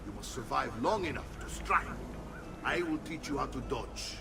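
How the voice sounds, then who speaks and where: Gravelly Italian uncle and battle mentor. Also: male voice, mid-range voice, lively intonation, moderate pace, background noise. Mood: instructive, wry. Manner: theatrical, commanding, instructive. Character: gruff middle-aged uncle and mentor, seasoned mercenary captain, proud. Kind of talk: dramatic video game cutscene acting. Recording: music behind the voice.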